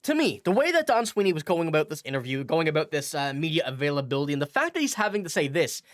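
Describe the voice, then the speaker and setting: A man's voice: on the high side, sing-song, quick pace, fairly bright tone. Nasal man with a cold, chatty. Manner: rambling, self-correcting, reads quotes aloud. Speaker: hockey news channel host. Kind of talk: casual commentary video.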